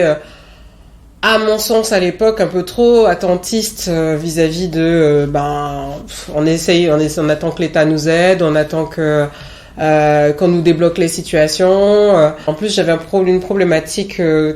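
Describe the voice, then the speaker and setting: A woman's voice: fairly low pitch, darkish tone. A woman in her late thirties from French Guiana giving a reflective personal testimony, speaking candidly and with self-assured emphasis. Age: late 30s. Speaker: interviewee.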